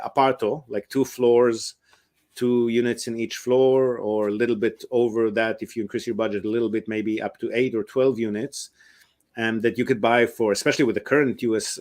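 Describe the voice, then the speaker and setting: A man's voice: mid-range voice, darkish tone. A man, a real estate investment advisor, answers interview questions at length, explaining step by step with frequent ums and uhs.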